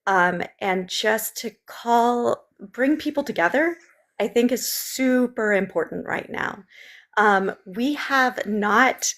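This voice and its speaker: A woman's voice: on the high side, slow pace, a bit bright. A woman with a Southern accent, originally from Memphis, earnestly answering interview questions as a political candidate, with many ums in long, run-on sentences. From Memphis, Tennessee. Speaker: state legislative candidate.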